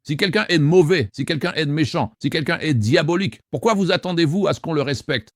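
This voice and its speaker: A man hosting a call-in debate, forceful and argumentative, who often cuts in on his callers. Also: a little high, animated, a little fast, dry recording, clean studio sound. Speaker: host.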